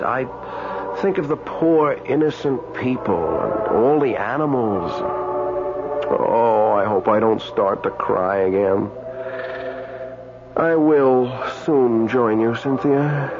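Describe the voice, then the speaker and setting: An actor playing a weary, tender dead man in a radio drama, speaking in a halting, wistful way. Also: mid-range voice, animated. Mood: weary, sorrowful. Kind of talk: old-time radio drama acting. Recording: dull old radio recording with music.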